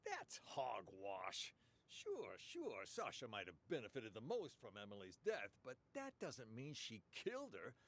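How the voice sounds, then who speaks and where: A man's voice: medium pitch, wildly swooping pitch, unhurried, a bit bright, heavily acted. A man playing a testy, pompous theatre manager in a radio drama, emphatic and dismissive. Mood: dismissive, indignant. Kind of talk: interactive radio drama acting.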